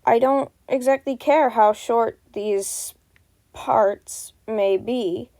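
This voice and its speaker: A woman reads a fantasy fan story aloud as narrator. Her voice sits a little high yet is mellow and dark in tone. The recording is close and dry, with no room sound. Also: drawn-out words, dry recording. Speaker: podfic narrator.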